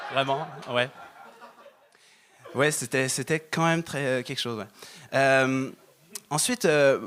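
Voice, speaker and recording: A man's voice: mid-range voice, a bit bright. A man doing conversational stand-up and banter in a hesitant manner. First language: Arabic-speaking family, says he speaks French imperfectly. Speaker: stand-up comedian performing on a show.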